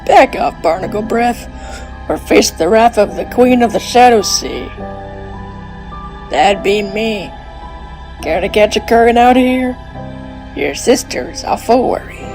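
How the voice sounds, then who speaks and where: A woman voicing a bold, gruff, commanding pirate-like sea queen in an animated comic dub. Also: a little high, a little slow, long pauses, soft background music, poor audio. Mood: swaggering. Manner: salty pirate speech, commanding.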